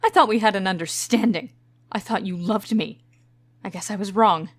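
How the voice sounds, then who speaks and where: A woman's voice: medium pitch, moderate pace, dry recording, studio quality, close to an everyday voice. A woman playing a sultry, possessive, jealous antiheroine lover in a comic dub, with a theatrical manner. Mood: jealous, scornful.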